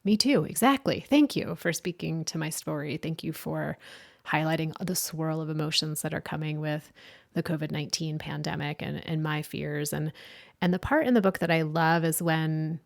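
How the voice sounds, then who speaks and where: A woman's voice: low-pitched, normal speed, creaky. A woman hosting a podcast interview, reflecting thoughtfully and asking follow-up questions. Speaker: podcast host. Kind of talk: interview conversation.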